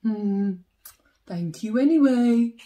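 A woman voicing a big, polite, forlorn bear, with earnest, drawn-out questions, in a children's picture book. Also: a little high, animated, mellow, pitched below the performer's own voice. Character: big polite forlorn bear searching for his lost hat.

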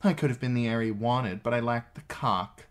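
A man plays a highborn woman from a storybook, proud and mocking. The voice is lifted above his own and swoops on taunting emphasis, full of bitter scorn. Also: fairly even intonation, normal speed, close to an everyday voice. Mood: mocking, scornful.

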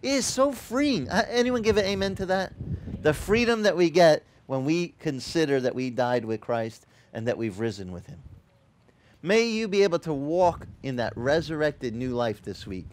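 A middle-aged man whose first language is Italian, preaching a sermon outdoors at a beach with a headset mic, in a lively, conversational style full of joking asides. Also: fairly high pitch, moderate pace, a little hiss or hum. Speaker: pastor.

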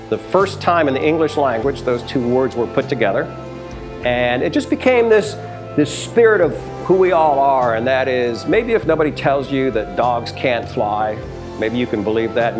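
A man in his fifties, a brewery chief executive, telling stories and answering questions in a sit-down interview in a taproom, gesturing as he talks. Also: medium pitch. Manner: animated storytelling, hand gestures.

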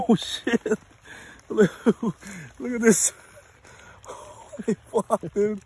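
A man's voice: high-pitched, extremely slow, darkish tone, breathy edge. An American man casually narrating an outdoor fishing vlog in informal, slangy speech. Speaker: fishing vlogger. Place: outdoors on a grassy riverbank. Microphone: handheld phone. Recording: noisy outdoor phone recording.

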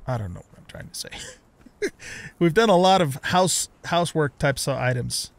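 A man's voice: on the high side, sing-song, moderate pace, gravelly, no room sound. A middle-aged man giving casual let's play commentary on a video game, thinking aloud and muttering to himself.

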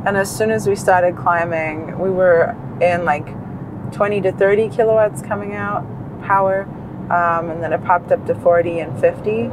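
A woman's voice: lowish voice, moderate pace. A woman in her thirties chatting casually as a vlog co-host, narrating a road trip from the passenger seat. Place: inside a moving car. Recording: steady road and cabin noise.

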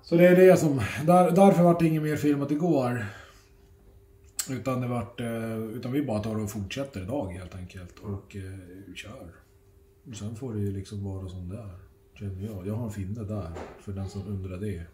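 A middle-aged man chatting casually for a family vlog, informal and sweary, often halting, recorded on a phone at arm's length indoors. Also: lowish voice, fairly even intonation, mellow. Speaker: family vlogger. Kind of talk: casual handheld vlog chat. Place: indoors at home, rooms and kitchen. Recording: roomy echo, faint household noise.

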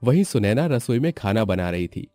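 Male storyteller, mellow, swooping pitch. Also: sing-song, normal speed, soft background music. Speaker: narrator. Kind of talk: scripted story narration.

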